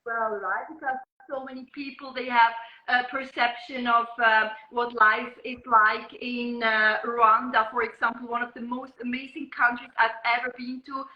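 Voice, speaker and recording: A woman's voice: fairly high pitch, little pitch movement, moderate pace, echoey room, lo-fi sound. A woman from Switzerland with a Swiss accent whose first language is Swiss German, speaking as a journalist guest in an interview, thoughtful and full of ums and uhs. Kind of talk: interview conversation.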